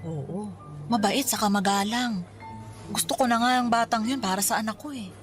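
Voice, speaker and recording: A woman playing a warm, doting middle-aged mother with a Filipino accent in a radio drama. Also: on the high side, moderate pace, faint music behind, lightly acted. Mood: chatty, fond.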